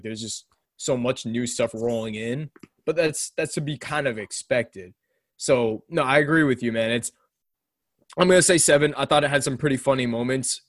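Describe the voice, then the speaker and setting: A young man co-hosting a casual chat about a TV show, animated and quick to laugh. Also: mid-range voice, no room sound, clean studio sound. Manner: animated, laughs often, does mock voices in retelling. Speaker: podcast co-host. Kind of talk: casual chat reviewing a TV episode.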